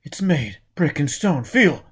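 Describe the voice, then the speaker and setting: A man voicing a gruff, bossy male escaped convict in an audiobook, with terse, clipped, forceful speech. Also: fairly high pitch, big pitch swings, slow, heavily acted. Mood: gruff, urgent.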